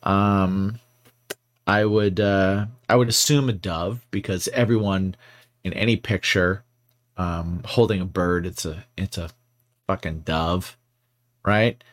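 Low-voiced man in casual chat. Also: fairly even intonation, takes it slowly. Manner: rambling, filler-heavy, playful storytelling. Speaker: podcast co-host.

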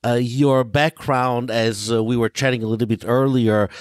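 European rabbi guy, slow and halting, lots of uh, dry close sound. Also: mid-range voice, steady tone, dry recording. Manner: slow, halting, many fillers. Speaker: rabbi, show host. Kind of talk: radio interview.

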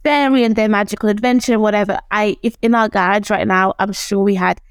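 A young woman from Zimbabwe whose first language is Shona, talking as a guest in an animated, casual interview and acting out the voices she quotes. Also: mid-range voice, fairly quick, clean tone, no echo, clean studio sound.

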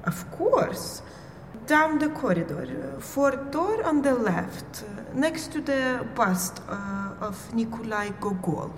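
An actress playing a polite embassy receptionist in an audio drama, speaking in a halting, courteous way. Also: female voice, on the high side, big pitch swings. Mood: courteous, hesitant. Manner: slow, hesitant, courteous. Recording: echoey large hall, faint noise.

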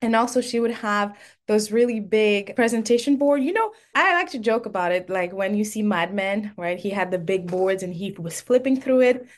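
A woman's voice: medium pitch, normal speed. A woman from Senegal whose first language is French, hosting a solo podcast episode in a chatty, laughing way. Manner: chatty and warm, laughs often. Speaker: podcast host.

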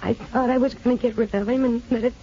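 Actress as a high-voiced distraught young wife. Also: female voice, fairly even intonation, warm tone, lightly acted. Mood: bitter, anguished. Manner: emotional, halting, pleading. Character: distraught young wife and mother, desperate, wronged, pleading. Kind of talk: 1950s American radio drama acting. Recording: dull old radio broadcast recording.

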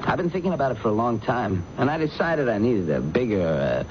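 A man's voice: mid-range voice, sing-song, fairly quick, close to an everyday voice. An actor playing an ordinary man turned murder suspect in a 1970s radio drama, defensive and evasive, given to stammering. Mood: evasive, uneasy. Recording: muffled, noisy old radio broadcast recording.

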